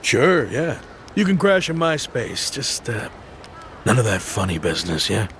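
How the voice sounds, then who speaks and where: An actor playing a friendly, teasing homeless man in a video game dub, with a gravelly American voice. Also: fairly low pitch, sing-song, a little slow, a bit bright, a little hiss or hum, exaggerated voice. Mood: jovial, teasing. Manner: teasing, folksy.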